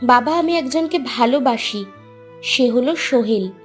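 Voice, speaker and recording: Cartoon heroine, young woman, acted: earnest, tender, devoted, high-pitched, with light music underneath. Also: animated, unhurried. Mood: pleading. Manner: tender, pleading. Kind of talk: cartoon story voice acting.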